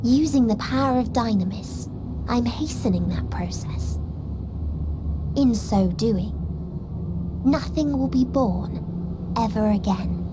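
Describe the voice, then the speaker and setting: A woman's voice: on the high side, takes it slowly, long pauses, heavy background noise. A woman voicing a serene, despairing video game villain bent on ending all life, coldly detached and solemn. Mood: serene menace. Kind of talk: scripted video game cutscene acting. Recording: game music under the voice.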